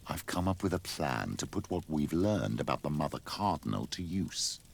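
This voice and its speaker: An old man of the church in a game cutscene. His voice is extremely low and full of gravel, and he speaks in solemn, fatherly, formal phrases. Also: sing-song, moderate pace, a little hiss or hum, heavily acted. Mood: confiding. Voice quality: very deep, gravelly. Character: solemn old clergyman, fatherly, devout, scheming. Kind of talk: video game cutscene acting.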